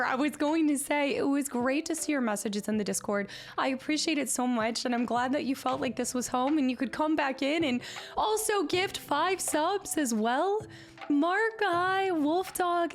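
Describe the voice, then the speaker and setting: A chatty woman streaming from her apartment and greeting her chat, slightly hoarse as she recovers from laryngitis. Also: high-pitched. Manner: chatty and upbeat, rattles off viewer names. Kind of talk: casual live-stream chat. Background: faint background music.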